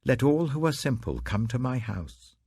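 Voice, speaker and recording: A man's voice: medium pitch, very animated, normal speed, a little mellow, breathy edge, dry recording, voice pushed up. A British man voicing Wisdom as a stately woman who calls out an invitation in a scripture reading. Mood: inviting, lofty. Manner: calling, inviting, declamatory.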